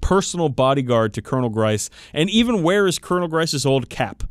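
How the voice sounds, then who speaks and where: A man's voice: fairly high pitch, sing-song, a little fast, smooth, dry recording, studio quality. A man hosting a podcast, casually telling tabletop game lore with sweary enthusiasm. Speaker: podcast host. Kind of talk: casual chat about tabletop wargame lore.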